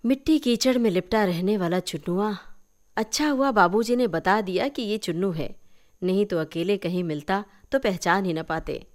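A woman narrating a short story in an expressive storytelling style. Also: mid-range voice, normal speed. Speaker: story reader.

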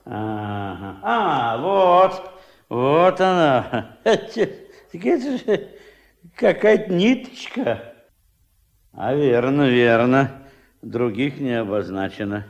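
A self-important Soviet fishery boss for a radio play. His gravelly voice swings widely in pitch as he blusters and gives orders. He speaks slowly and officiously, like a suspicious bureaucrat. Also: big pitch swings, heavily acted. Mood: curious, scheming. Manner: officious, bureaucratic, repeating catchphrases.

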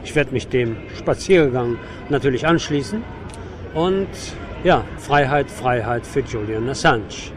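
A man working as a radio street reporter, speaking off the cuff in halting, searching phrases, live from a demonstration outdoors. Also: medium pitch, expressive. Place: outdoors on a city avenue at a demonstration. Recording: noisy outdoor street with crowd. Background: crowd and street noise.

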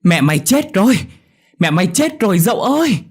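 A man's voice: lowish voice, very animated, brisk. A man voicing a frantic boy in a story who urges his friend on with insistent, repeated phrases. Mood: shouting alarm. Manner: urgent, breathless repetition, hectoring. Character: frantic boy, a friend bringing terrible news, bossy.

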